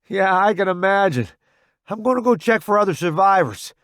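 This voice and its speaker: A man's voice: high-pitched, expressive, moderate pace, clean tone, no echo, studio quality, pitched above the performer's own voice. A man voicing a frightened, inexperienced young soldier in a dramatised audiobook, with a raised, strained delivery. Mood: steadier, wry. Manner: raised, strained shouting over noise. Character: frightened rookie male soldier, protesting and inexperienced. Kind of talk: dramatised audiobook character dialogue.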